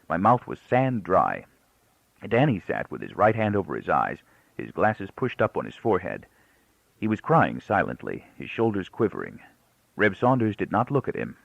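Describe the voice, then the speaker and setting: Low, creaky male audiobook narrator. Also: huge pitch swings, normal speed, dry recording. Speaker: audiobook reader. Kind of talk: expressive literary narration. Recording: thin, narrow-band, phone-like sound.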